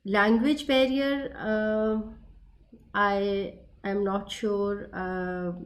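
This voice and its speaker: A woman's voice: medium pitch, steady tone, drawn-out words. A woman speaking as a panelist in an online meeting, hesitant and full of fillers.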